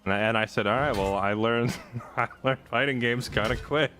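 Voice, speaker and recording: A man's voice: mid-range voice, normal speed, fairly bright tone. Joking streamer man over game music. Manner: sarcastic, joking, laughs at own stories. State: just woke from a nap. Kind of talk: casual chat while gaming.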